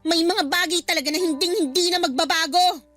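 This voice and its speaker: Very high-voiced, defiant Filipino daughter. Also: female voice, a little fast, fairly bright tone, faint music behind. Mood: bitter, confrontational. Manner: confrontational, sarcastic. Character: fed-up, outspoken daughter, bitter and defiant. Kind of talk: Filipino radio drama acting.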